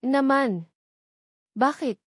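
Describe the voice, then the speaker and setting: A woman's voice: high-pitched, drawn-out words, dry recording, clean studio sound, voice pushed up, full character voice. A woman voicing an anxious, evasive young mother who answers politely and defensively in a romance story. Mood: guarded denial.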